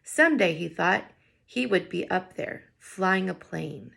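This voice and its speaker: A woman reading a picture book aloud to children in an expressive way, pausing to think out loud in wondering asides. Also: on the low side, creaky. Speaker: read-aloud teacher.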